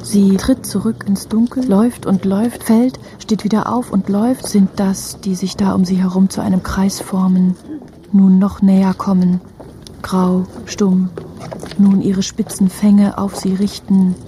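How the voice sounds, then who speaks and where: An actress playing an intense narrator in a literary radio drama, deliberate and suspenseful, with breathy delivery. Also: female voice, mid-range voice, level tone, dark tone, a little hiss or hum, natural voice. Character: intense female narrator shadowing a hunted woman's every step.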